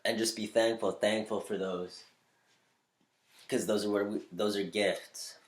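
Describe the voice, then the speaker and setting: A man with a slightly nasal voice giving upbeat, spiritual advice on using your voice, shifting between quick bursts and slow, deliberate lines. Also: lowish voice, steady tone, echoey room. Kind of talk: motivational talk to camera.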